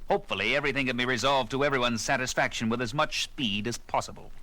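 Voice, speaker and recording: A man's voice: mid-range voice, fast talker, pushed and bright, lightly acted. A man playing an oily, hot-tempered alien ambassador with pompous, falsely courteous manners in a sci-fi audio drama. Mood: impatient, falsely genial. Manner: pompous, unctuous.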